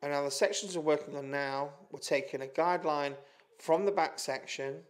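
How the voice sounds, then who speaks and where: A man with a British accent calmly narrating a step-by-step hairdressing tutorial. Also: a little high, moderate pace. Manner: calm, methodical instruction with small restarts. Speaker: hairdressing educator. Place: studio with a plain grey backdrop.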